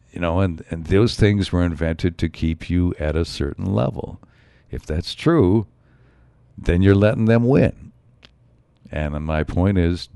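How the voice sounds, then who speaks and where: Deep raspy man with a cold. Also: dark tone, no room sound. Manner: rambling, musing, frequent asides. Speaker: show host. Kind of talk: solo podcast monologue.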